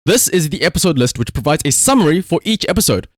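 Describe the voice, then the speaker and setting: A man's voice: fairly high pitch, sing-song, fast, no echo, studio quality. A man livestreaming casual commentary, telling a story conversationally with rhetorical asides.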